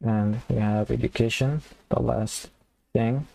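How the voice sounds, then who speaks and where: A man teaching a coding lesson, thinking aloud and restarting phrases as he types, his voice slightly hoarse. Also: medium pitch, fairly even intonation, slow, mellow, low-quality recording. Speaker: programming tutor. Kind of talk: casual screen-recorded coding tutorial.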